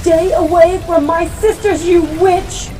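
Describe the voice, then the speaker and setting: Young storybook witch woman reader: protective, fierce, intense, pleading yet commanding, with a bright edge. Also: extremely high pitch, fairly even intonation, unhurried, faint music behind, voice pushed up. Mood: defiant pleading.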